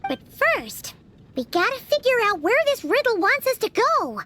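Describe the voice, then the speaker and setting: Game fairy companion: squeaky, very high, lively. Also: female voice, moderate pace, a little hiss or hum, full character voice. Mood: curious. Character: small floating fairy companion girl, chirpy. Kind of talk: video game voice acting.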